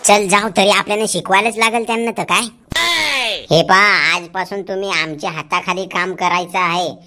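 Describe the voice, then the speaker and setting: A woman's voice: medium pitch, steady tone, normal speed, very noisy, close to an everyday voice. A woman voicing a bossy, matter-of-fact young female worker in an amateur comedy cartoon, with plain colloquial talk. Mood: instructing. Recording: loud music under the voice.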